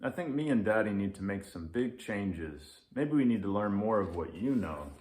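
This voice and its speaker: A man voicing a gentle, unsure mother in a children's storybook. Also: on the low side, expressive, normal speed, lightly acted. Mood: unsure, concerned. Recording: echoey room.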